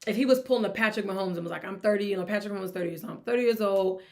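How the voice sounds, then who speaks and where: A young woman hosting a casual sports commentary vlog, talking in an animated, teasing way and laughing often. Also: mid-range voice, racing.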